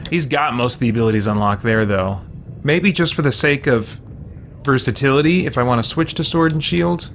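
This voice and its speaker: A man's voice: medium pitch, a little fast, fairly bright tone, a little hiss or hum. A man giving casual video game commentary as a let's play host, hesitantly thinking aloud. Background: game music.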